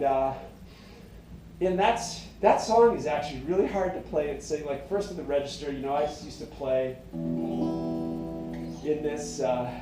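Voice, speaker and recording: A middle-aged singer-songwriter with a high tenor voice, talking in a meandering, reflective way full of fillers and restarts. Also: male voice, mellow. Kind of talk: rambling casual monologue. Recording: very echoey room, mic at a distance.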